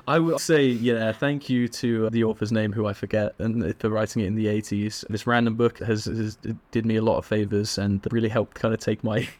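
A young man from England with an English accent, a guest telling stories in a relaxed, chatty way with frequent laughs and fillers. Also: fairly low pitch, normal speed, hardly any pauses. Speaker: podcast guest. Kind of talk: casual interview chat.

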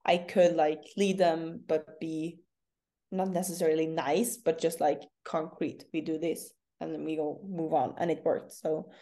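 A young woman from northern Norway talks in English with a Norwegian accent, a relaxed interview guest who laughs easily. Her voice sits low and sounds warm and mellow. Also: a little slow. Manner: candid, laughs often. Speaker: CrossFit athlete guest. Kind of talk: casual interview.

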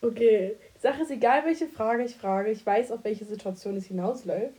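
A woman's voice: fairly high pitch, moderate pace, warm tone. A woman in her twenties with a cold and a blocked nose, chatting casually as a podcast co-host and asking playful questions. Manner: playful, asks quirky questions, laughs often. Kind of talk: casual chat.

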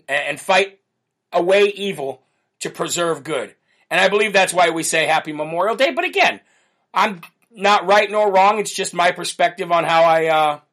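Loud, rambling man hosting a livestream. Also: on the high side. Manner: rambling, loose, with many fillers and restarts; self-described loud voice. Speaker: host of a live political show. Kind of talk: casual livestream talk, reading viewer comments.

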